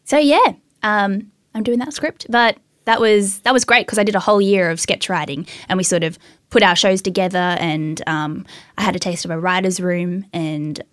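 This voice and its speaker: Giggly young woman with a lowered voice. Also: steady tone, normal speed, no echo, clean studio sound. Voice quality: naturally high voice, consciously lowered. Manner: laughs often, self-deprecating, bubbly. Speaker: actress and writer guest. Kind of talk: casual interview chat.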